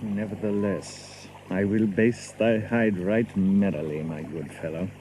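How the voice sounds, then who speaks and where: An actor playing a bold, proud outlaw archer in an audio drama, boastful and theatrical in archaic English. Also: male voice, on the low side, steady tone, unhurried, mellow, a little hiss or hum, lightly acted, rough recording. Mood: merry challenge.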